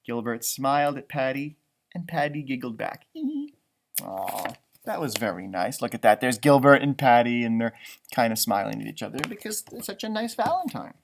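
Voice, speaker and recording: A man giving an expressive children's storybook read-aloud, adding exclamations and comments for young listeners. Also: mid-range voice, moderate pace, vocal fry, slight background noise. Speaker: storybook reader, teacher.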